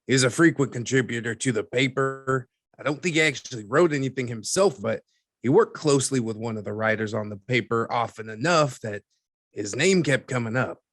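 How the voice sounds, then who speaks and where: Man playing skeptical newspaperman, medium-pitched male voice, wry, measured explanations, conversational, dry close recording. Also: fairly bright tone, no echo, lightly acted. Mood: matter-of-fact. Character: dry, skeptical newspaperman recounting archived cases. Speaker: newspaper man being interviewed. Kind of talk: conversational interview in an audio drama.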